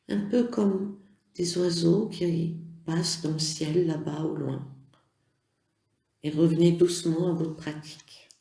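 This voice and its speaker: A yoga nidra instructor leads a guided relaxation at a very unhurried pace. The voice is soft-edged and mellow, with gentle, measured phrasing and pauses. It sits in a roomy, echoing sound. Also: medium pitch. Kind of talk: slow guided relaxation meditation.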